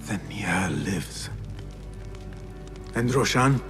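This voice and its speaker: An actor playing a defiant young warrior of a secret order in a video game, grave and intense. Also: male voice, fairly low pitch, expressive, very slow, fairly bright tone, soft background music, exaggerated voice. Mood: grave, urgent. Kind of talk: dramatic video game acting.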